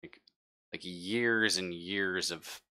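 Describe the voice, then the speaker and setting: A man's voice: deep voice, steady tone, slow, sharp tone. A man running a tabletop roleplaying game, narrating off the cuff in a casual, slangy way with some swearing. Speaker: game master.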